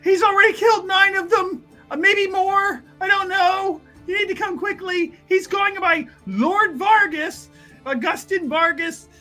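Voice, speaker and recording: A man's voice: on the high side, animated, unhurried, a bit bright, soft background music, voice pushed down. A man playing a panicked, lying young schemer, urgently and insistently raising the alarm in a tabletop roleplaying game. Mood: frantic alarm.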